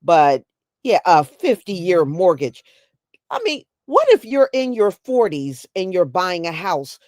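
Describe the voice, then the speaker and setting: A woman's voice: on the low side, big pitch swings, slow pace, darkish tone, dry recording, clean studio sound. A woman with an American accent giving casual, emphatic, folksy opinion commentary as a live stream host.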